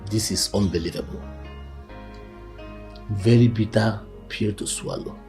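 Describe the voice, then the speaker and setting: Solemn Nigerian man, loud music behind. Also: fairly low pitch, unhurried. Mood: stunned, solemn. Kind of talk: dramatic film acting. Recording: loud musical score over voice.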